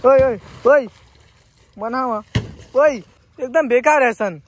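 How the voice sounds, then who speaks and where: A young man filming a casual outdoor vlog at a village pond with a handheld phone, talking excitedly and calling out to friends. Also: extremely high pitch, takes it slowly, slight background noise. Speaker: vlogger.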